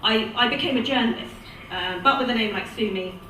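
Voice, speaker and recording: An Irish woman performing stand-up, running through stereotypes in a teasing sing-song. Her voice sits high and bounces around an echoey room. She is caught from a distance under heavy noise. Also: brisk. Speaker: stand-up comedian. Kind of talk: stand-up set.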